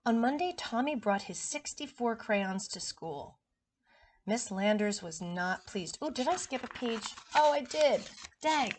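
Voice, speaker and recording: Expressive woman reading a children's storybook. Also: on the high side, a little slow, a little hiss or hum. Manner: expressive, with chatty asides to children. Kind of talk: read-aloud storytelling for young children.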